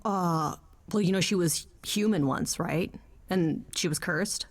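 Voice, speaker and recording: A woman's voice: lowish voice, a little slow. A woman voicing a loyal, nerdy young best friend in a video game, with a wry, teasing, naturalistic delivery. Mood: thoughtful. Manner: wry, teasing, hesitant asides.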